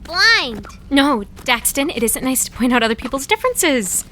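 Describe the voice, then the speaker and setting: An earnest, preachy young mother in an audio comedy. Her voice is fairly high and very bright, chirpy and protective, with faint ambient noise behind. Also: very animated, normal speed, heavily acted. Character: earnest, overprotective new-age young mother. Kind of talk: audio comedy drama acting.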